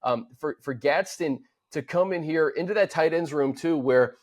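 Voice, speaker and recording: A man's voice: on the high side, normal speed. An American man co-hosting a sports show, giving casual, earnest, conversational analysis into a studio microphone.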